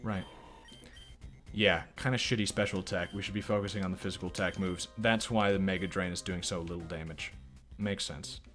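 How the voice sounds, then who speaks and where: A man streaming a video game, chatting loosely and swearing often as he answers his viewers. His voice is bright and forward, with faint game music under it. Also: low voice, steady tone, a little fast. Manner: profane, rambling, reacting to chat. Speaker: let's play streamer. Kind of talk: casual gameplay commentary.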